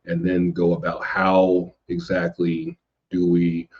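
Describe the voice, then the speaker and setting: A man chatting casually as a podcast guest, halting and circling back over his phrases. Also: deep voice, completely flat delivery, lo-fi sound. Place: indoors at home in a city.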